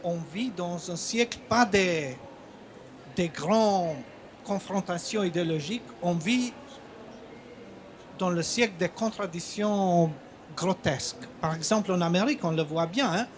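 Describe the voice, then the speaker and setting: A man who is a native Albanian speaker, a writer talking on a conference panel in a halting, hesitant way with many repeated words. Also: sits high, slight background noise.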